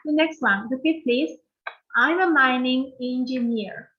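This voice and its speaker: Slow, patient Peruvian woman teacher. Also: high voice, muffled recording, slight background noise. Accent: Peruvian Spanish. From Peru. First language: Spanish. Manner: slow, patient, repeats phrases for learners. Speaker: English teacher. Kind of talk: online English lesson with repeat-after-me drills.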